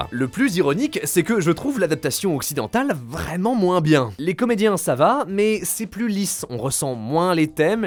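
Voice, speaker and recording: A young man with a French accent from France, narrating a video essay in a colloquial, opinionated, theatrical way. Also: fairly high pitch. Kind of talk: casual scripted narration. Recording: faint music behind.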